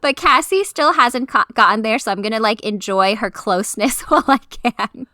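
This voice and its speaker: A woman with a high voice, co-hosting a casual chat podcast, chatty and full of "like" fillers. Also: normal speed, bright, forceful tone, no room sound, studio quality. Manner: chatty, filler-heavy with lots of "like". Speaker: podcast co-host.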